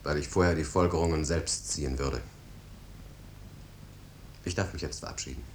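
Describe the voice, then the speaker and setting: An actor playing an earnest, troubled but resolute lawyer in a 1950s German radio drama, with formal, solemn, measured speech. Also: male voice. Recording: noisy 1950s radio recording with rumble.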